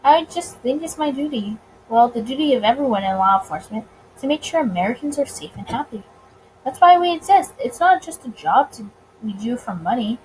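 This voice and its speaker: A woman's voice: fairly high pitch, expressive, a little fast, a little mellow, a little hiss or hum, close to an everyday voice, poor audio. A woman voicing an earnest, easily flustered male police detective, hesitant and sincere, in a visual novel.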